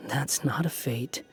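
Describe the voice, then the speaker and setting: A man voicing a weary, sardonic, brooding dragon with a world-weary manner in a game. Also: mid-range voice. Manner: very slow, sighing, world-weary. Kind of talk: dramatic game voice acting.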